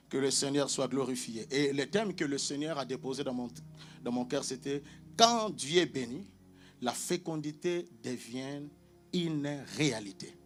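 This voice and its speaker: Guy preaching in a church hall, lots of amens, calls out to the crowd, faint music behind. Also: mid-range voice. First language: Portuguese. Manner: emphatic call-and-response with frequent amens. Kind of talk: sermon.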